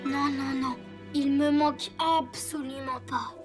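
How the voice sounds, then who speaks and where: A very high, small child's voice for an earnest, sentimental little motorbike. It is expressive cartoon dubbing. Loud music runs underneath. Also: unhurried. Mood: wistful, upset.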